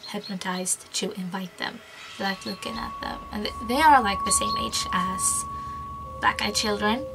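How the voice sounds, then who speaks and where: A young Swedish-accented woman chats her way through a creepy tale, rambling and slipping into hushed whispers. Her voice has a gravelly, fry-laden edge. Loud eerie music plays under her the whole time. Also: mid-range voice, rough recording. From Sweden. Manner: chatty, rambling, slips into whispers and giggles. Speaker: YouTuber. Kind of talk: casual storytelling vlog. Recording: loud spooky background music.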